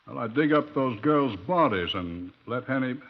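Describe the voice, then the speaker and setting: A man's voice: medium pitch, lively intonation, normal speed. A man acting a wry, bookish adviser in a radio drama, musing and dry. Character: wry, bookish male adviser, unhurried and droll. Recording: muffled old radio recording.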